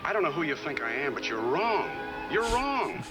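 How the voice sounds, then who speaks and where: A man's voice: on the high side, big pitch swings, normal speed. An actor playing a businessman in his thirties, an insistent man, in a 1960s television drama. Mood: desperate, insistent. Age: 30s. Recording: old TV soundtrack with music.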